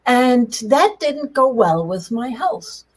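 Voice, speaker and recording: A middle-aged woman from Germany speaks English with a German accent in a slightly husky voice. She is an interview guest sharing personal experience. Her delivery is halting yet emphatic, often repeating key words for weight. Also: on the high side, big pitch swings, darkish tone. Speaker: interview guest, change coach. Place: remote video call.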